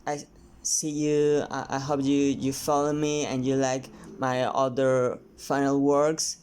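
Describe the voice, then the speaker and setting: A painter from Mexico with a Mexican Spanish accent, his first language Spanish, talking casually and haltingly to camera with many restarts. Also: medium pitch, completely flat delivery, a little mellow, a little hiss or hum. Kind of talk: casual vlog talk while painting.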